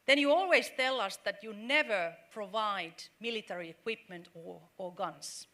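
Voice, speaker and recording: A middle-aged woman speaks on a forum panel in a bright, forceful voice. She talks earnestly and halts often, restarting phrases and filling pauses as she works through her point. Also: medium pitch. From Finland. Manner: earnest, halting, with many fillers and restarts. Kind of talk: panel discussion.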